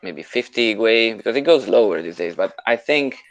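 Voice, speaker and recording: Low, creaky-voiced man, close and dry. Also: animated, moderate pace, dry recording, low-quality recording. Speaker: co-host answering questions on a live audio show. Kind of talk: casual explanatory chat.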